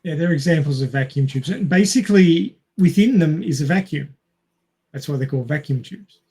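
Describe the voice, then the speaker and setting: A man's voice: on the high side, lively intonation, a little fast, warm tone, husky. A man in a casual panel discussion, explaining technical things step by step. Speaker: podcast panelist.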